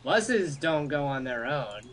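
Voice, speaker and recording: A man's voice: a little high, sing-song, takes it slowly, a bit bright. A man reading game dialogue aloud and breaking off into rambling, joking asides, on an amateur recording. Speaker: amateur voice-over player in a group. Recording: noisy amateur home recording.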